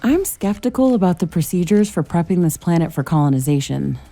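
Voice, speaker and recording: An actress playing a thoughtful, principled scientist-commando in a video game, speaking in a calm, articulate, wry way over music. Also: female voice, lowish voice, fairly quick. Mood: earnest conviction. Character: thoughtful, principled female scientist-commando, wryly teasing, mech pilot. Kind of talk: scripted video game voice acting.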